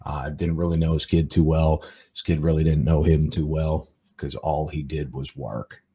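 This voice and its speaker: Podcast host, man, forties, very low and husky, rambling delivery full of fillers. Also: little pitch movement, normal speed, warm tone. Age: 40s. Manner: rambling, many fillers and repeated words. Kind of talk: casual reflective monologue.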